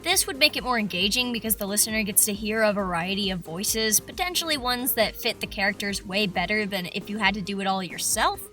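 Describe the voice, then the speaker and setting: Bright squeaky-voiced woman, chatty narration. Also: fairly quick, few pauses. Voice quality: squeaky, youthful. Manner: chatty, self-deprecating. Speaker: video creator. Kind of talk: casual scripted narration. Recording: faint music behind the voice.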